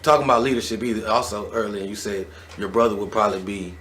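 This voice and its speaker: A man hosting a casual interview, talking in an animated way and building his questions out loud. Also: on the low side, fast, slight background noise. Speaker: interviewer, show host.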